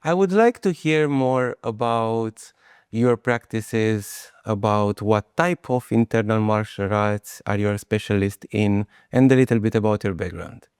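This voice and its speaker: A man's voice: lowish voice, a little slow, darkish tone, clean tone, no echo, clean studio sound. A man who is a non-native speaker, hosting a podcast and putting conversational questions to a guest. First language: not English. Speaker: podcast host, interviewer.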